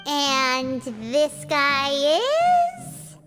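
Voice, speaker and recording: A woman voicing a tiny, perky, cheeky childlike companion in a video game, squeaky and blunt. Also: wildly swooping pitch, extremely slow, loud music behind the voice, exaggerated voice. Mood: curious. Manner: blunt, boastful, exclaiming. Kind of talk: anime-style video game acting.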